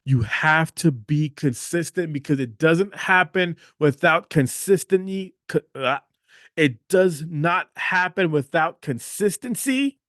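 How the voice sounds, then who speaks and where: A man's voice: fairly high pitch, expressive, slow, a bit bright, dry recording. A male podcast host delivers a motivational monologue in a slightly raised voice. He talks emphatically, repeats phrases for weight and keeps checking in with "okay?". The recording is dry and close.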